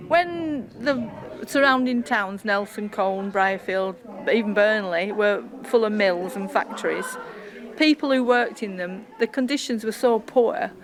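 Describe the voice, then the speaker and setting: A woman, giving an informal interview account of local history as a volunteer. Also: medium pitch. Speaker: volunteer speaking for a countryside tea room.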